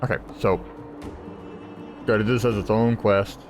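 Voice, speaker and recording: A man's voice: on the low side, fairly even intonation, unhurried. A man giving casual, offhand playthrough commentary as a gaming host, sometimes reading aloud with stumbles. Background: game music.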